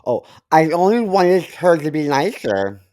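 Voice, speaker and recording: A man, a podcast co-host, speaking haltingly as he reads lines in a comic story, over music. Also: fairly high pitch, very animated, darkish tone.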